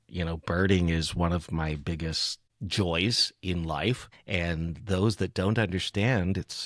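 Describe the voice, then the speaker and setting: A man with a fairly low voice hosts a podcast, recorded dry and close to the mic. He talks casually and rambles a little, with a jokey, self-deprecating manner. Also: dry recording. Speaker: podcast host. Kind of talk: casual, chatty interview talk.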